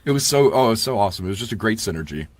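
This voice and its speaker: A man chatting casually as an interview guest, gushing with animated enthusiasm. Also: fairly low pitch, rapid-fire. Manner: animated, gushing, quotes himself. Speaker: audiobook narrator, interview guest.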